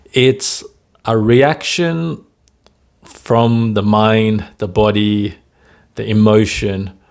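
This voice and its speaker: A man who works as a stutter coach, talking to camera at a slow, deliberate pace with frequent pauses and "right?" tags. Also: on the low side, darkish tone. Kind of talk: motivational talk to camera.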